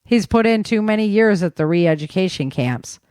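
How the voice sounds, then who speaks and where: A woman's voice: medium pitch, lively intonation, moderate pace, darkish tone, dry recording, studio quality, close to an everyday voice. A woman voicing a frank, thoughtful army staff sergeant in an audio story, talking familiarly as a comrade. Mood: sober, explaining.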